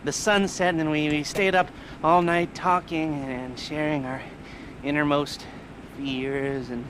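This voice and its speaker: A man's voice: fairly even intonation, unhurried, background noise, natural voice. An actor playing a jittery, heavily medicated male heist planner in a video game, rambling and laughing nervously. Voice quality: nervous, rambling, giggly, manic swings in pitch. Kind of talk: video game cutscene acting.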